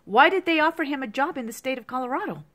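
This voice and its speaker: A woman's voice: high voice, animated, fast talker, fairly bright tone. Agitated woman in her fifties ranting. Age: 50s. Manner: agitated, repetitive ranting with profanity. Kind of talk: angry monologue to camera.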